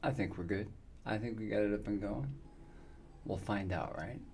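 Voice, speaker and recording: A man in his fifties, chatting casually and warmly with viewers on his live gaming stream, rambling with many fillers. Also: fairly low pitch, moderate pace, creaky, a little hiss or hum. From United States. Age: 50s.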